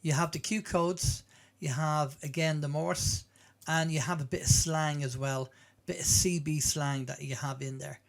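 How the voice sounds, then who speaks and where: Guy doing a casual demo, slightly high voice, explains stuff plainly and goes back over it. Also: fairly even intonation, measured pace, gravelly. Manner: explanatory, plain-spoken, repeats himself. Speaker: presenter demonstrating web apps. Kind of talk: informal screen walkthrough.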